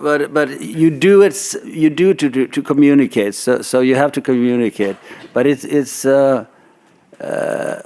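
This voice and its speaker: An older man with a gravelly, mid-range voice answers press questions as an actor. He talks haltingly, circling back over his words, with a wry edge. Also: mid-range voice, a little mellow.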